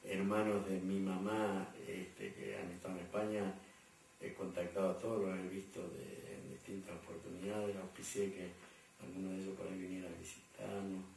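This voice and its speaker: A low-voiced man with an Argentine accent and a gravelly edge. He answers at length in a loose, rambling way, full of fillers. He sounds recorded from a distance in a very echoey room over a faint hum. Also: little pitch movement, normal speed, a little mellow. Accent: Argentine Spanish. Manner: rambling, many fillers and repeated words. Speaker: interviewee. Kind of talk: conversational interview answers.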